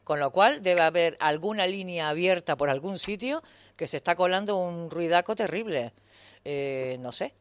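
A woman's voice: lowish voice, lively intonation, moderate pace, dry recording. Giggly woman radio host. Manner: giggly, chatty, keeps breaking into laughter. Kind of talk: casual radio chat.

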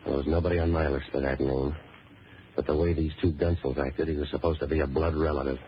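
A cynical American noir hero from a 1940s radio play talks fast in a deep voice. He tosses off deadpan, sardonic wisecracks through a muffled old recording with faint noise. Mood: dry narration. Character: wisecracking, cynical waterfront boat-rental man, hardboiled noir hero. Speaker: lead actor and narrator.

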